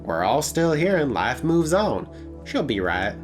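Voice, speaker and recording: Man putting on a brash, higher-pitched Aussie lady cabbie. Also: big pitch swings, a little slow, faint music behind. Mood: offhand. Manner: offhand, slangy, cheeky. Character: brash, reckless, thrill-seeking female Australian taxi driver. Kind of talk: character voice in a visual novel read-aloud.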